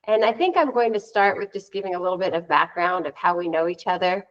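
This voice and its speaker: A woman with a fairly low voice hosts a conversational interview. She speaks as a host introducing a guest, and the recording is somewhat dull and lo-fi. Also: fast talker.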